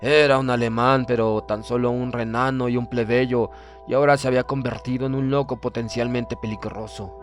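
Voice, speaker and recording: A man narrating a horror story in the first person, in a measured, formal, dramatic manner. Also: medium pitch, steady tone. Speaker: audiobook narrator. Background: music.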